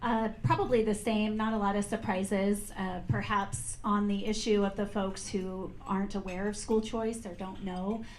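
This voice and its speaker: Female American legislator, lowish flat delivery, measured, in a reverberant room. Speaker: state senator, panelist. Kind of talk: measured panel answers. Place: indoor event room. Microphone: shared event microphone. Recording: echoey room, faint background noise.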